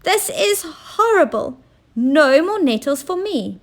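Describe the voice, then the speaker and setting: Casting: female reader as imperious, quarrelsome storybook queen, high voice, indignant and theatrical. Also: sing-song, takes it slowly, exaggerated voice. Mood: shrieking, pained. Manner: snorting, indignant, dramatic. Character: haughty, quarrelsome queen, indignant and shrieking when hurt.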